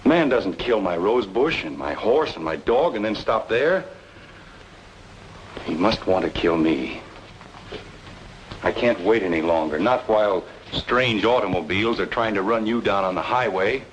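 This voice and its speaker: A man's voice: fairly low pitch, animated, unhurried, slight background noise, low-quality recording. An actor playing a cool, secretive noir man in an old-time radio drama, with wry, clipped, guarded delivery. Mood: tense, resolute.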